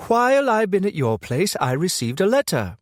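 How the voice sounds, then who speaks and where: A man voicing an angry young woman who accuses her partner over the phone, sharp and furious. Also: high-pitched, huge pitch swings, normal speed, no room sound, clean studio sound, voice pushed up.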